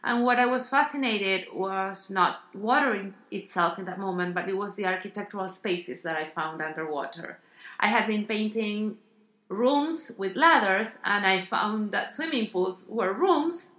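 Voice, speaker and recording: A woman's voice: mid-range voice, a little slow, bright, forceful tone, roomy sound. A middle-aged woman from Argentina with an Argentine accent, describing her art in a radio interview, thoughtful and hesitant with many ums and restarts. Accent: Argentine Spanish. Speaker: artist guest.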